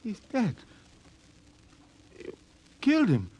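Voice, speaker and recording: A man's voice: high voice, huge pitch swings, slow pace, a little mellow, slightly rough, full character voice. An actor playing a decent, plainspoken crewman in a 1950s radio drama, with folksy slang, on a noisy old recording. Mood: shocked, outraged. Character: plainspoken, easygoing Cherokee crewman, decent and excitable.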